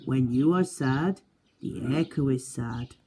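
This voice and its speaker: A woman voicing a wise old male beaver in a children's storybook, calm and kindly, explaining things gently in a measured way. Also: very deep voice, a little hiss or hum. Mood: gentle, explaining.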